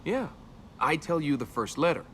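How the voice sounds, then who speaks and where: A man's voice: a little high, sing-song, moderate pace, fairly bright tone, slight background noise. A man playing a cocky, wisecracking young adventurer and tomb robber in a video game, with a sarcastic, teasing manner. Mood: playful. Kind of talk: video game voice acting, conversational banter.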